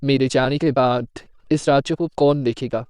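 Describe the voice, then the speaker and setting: A man's voice: mid-range voice, fairly even intonation, moderate pace, darkish tone, smooth, no echo, clean studio sound, natural voice. A man playing a worried fairy-tale king in a cartoon story, musing to himself. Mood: troubled, musing.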